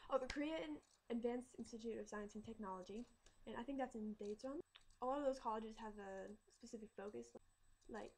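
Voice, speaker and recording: A woman's voice: on the high side, darkish tone, low-quality recording. A teenage girl talking casually to her vlog viewers, upbeat and chatty, often restarting her sentences. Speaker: vlogger.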